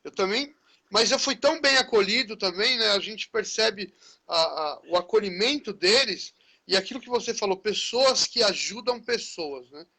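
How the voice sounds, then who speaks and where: A man's voice: high voice, expressive, moderate pace, sharp tone. A man who is a teacher, hosting a live conversation in a chatty, friendly way. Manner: chatty, friendly, often tags phrases with "né". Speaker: teacher, live-stream host. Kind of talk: casual conversation in a live stream.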